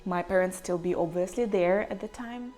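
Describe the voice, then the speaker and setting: A young woman with a Ukrainian accent, telling a personal story to camera in a halting, emotional way, close to tears. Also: lowish voice, dark tone, soft background music. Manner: emotional, halting, near tears, with many pauses and fillers. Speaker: vlogger.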